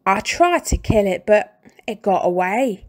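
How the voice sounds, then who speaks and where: A woman's voice: mid-range voice, lively intonation, natural voice. A woman doing a sly, nasty old husband. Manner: slow, sly and taunting.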